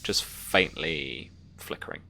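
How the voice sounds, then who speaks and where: A man with a very low, creaky voice narrates a tabletop roleplaying game as game master. His delivery is halting and thoughtful as he describes scenes and works through rules. The recording carries some background noise. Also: pushed and bright.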